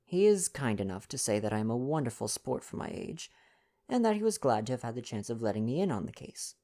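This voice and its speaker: A man voicing a fastidious, vain older Belgian detective from a storybook, speaking in formal, courteous foreign phrasing. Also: medium pitch, sing-song, dark tone. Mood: wry. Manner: formal foreign phrasing, sighing self-importance. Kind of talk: storybook dialogue reading.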